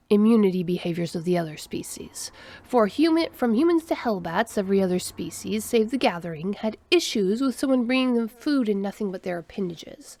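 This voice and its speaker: Animated woman narrator with creaky edges. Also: medium pitch. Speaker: storyteller, narrator. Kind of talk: scripted story narration.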